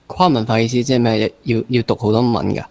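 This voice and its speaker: A man's voice: medium pitch, steady tone, fast, creaky, darkish tone, no echo. A man with a Hong Kong Cantonese accent hosting a casual interview, asking questions in colloquial speech. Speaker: interviewer, host.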